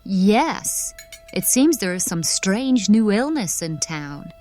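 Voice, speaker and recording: Woman acting noble duchess, medium pitch, genteel, dignified, warm temper, formal diction, historical audiobook, faint music bed. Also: sing-song, slow pace. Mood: concerned.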